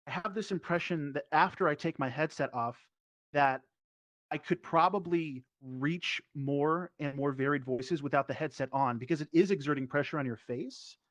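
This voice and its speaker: A man's voice: fairly high pitch, normal speed, fairly bright tone, dry recording. A man teaching performance, speaking reflectively and explaining his thoughts in a casual panel talk over a VR headset microphone.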